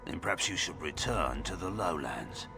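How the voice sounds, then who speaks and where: A man's voice: low voice, sing-song, normal speed, gravelly, over music, exaggerated voice. A man playing a suspicious, unwelcoming village guard in a video game, curt and formal. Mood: dismissive.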